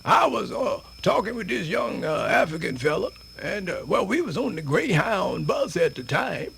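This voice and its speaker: An actor playing a spirited, folksy elderly man with a Southern US accent, rambling and stammering through a radio theatre monologue in a raspy voice. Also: big pitch swings, normal speed, a bit bright, full character voice. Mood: winded, rambling. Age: older. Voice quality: raspy, creaky, high-pitched.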